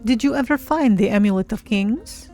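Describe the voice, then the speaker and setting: A woman voicing a curious, cautious female Khajiit cat-person adventurer in a measured, formal way for a game roleplay. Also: fairly high pitch, animated, warm tone, soft background music, natural voice. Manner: measured, formal, speaks of self in third person. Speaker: streamer roleplaying a character. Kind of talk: in-character game roleplay narration.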